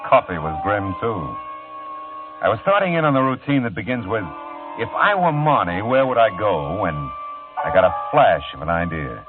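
A wisecracking old-time gumshoe, low voice, lots of swing, thin radio sound with music. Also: male voice, sing-song, normal speed, phone-quality sound. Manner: wry, world-weary narration. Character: wisecracking hard-boiled private eye narrator. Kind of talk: 1940s radio acting.

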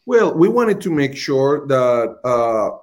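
A man's voice: medium pitch, very animated, slow pace. A man with a Colombian accent, a restaurant marketing executive, explaining earnestly as an interview guest, with frequent fillers and repeated words.

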